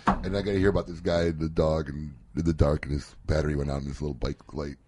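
A man hosting a casual radio talk show from a studio, joking and ranting in an animated way. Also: extremely low pitch, quick pace, slight background noise. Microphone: studio microphone.

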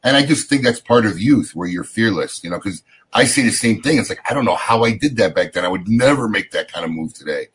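A man's voice: fairly low pitch, animated, fast talker. A man hosting a talk radio show, chatting casually and hyping the next segment. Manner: upbeat, promo-style sign-offs.

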